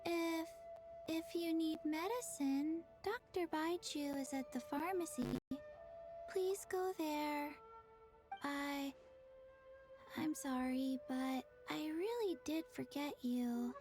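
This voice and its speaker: A woman voicing a small, forgetful undead girl in a video game, speaking in halting, flat, polite short phrases. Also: sits high, soft background music. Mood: apologetic, flat.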